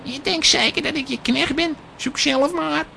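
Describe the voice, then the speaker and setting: A woman's voice: sits high, moderate pace, gravelly, pushed and bright, slight background noise, full character voice. A woman playing a cocky, money-minded boy with a brash, mocking manner in a children's audio drama. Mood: cheeky, defiant. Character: cocky money-minded neighbour boy, street-smart and cheeky.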